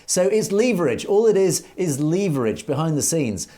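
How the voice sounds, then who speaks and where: A man's voice: fairly high pitch, big pitch swings, normal speed, mellow. A man co-hosting a news commentary show, reading out viewer comments and answering them in an earnest, emphatic way with rhetorical questions. He is from Europe.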